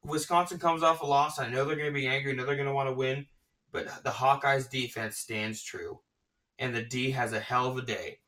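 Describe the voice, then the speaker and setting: A man hosting a casual sports podcast, talking excitedly and gushing about his predictions, often restarting his sentences. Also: medium pitch, fairly quick, distant mic, low-quality recording. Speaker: podcast host.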